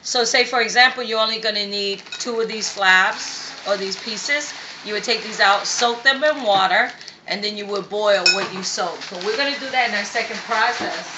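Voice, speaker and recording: A woman's voice: mid-range voice, normal speed. A woman born in Vietnam who hosts a home cooking show, demonstrating step by step in a chatty way and often checking in with okay. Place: home kitchen. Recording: echoey kitchen with faint background noise.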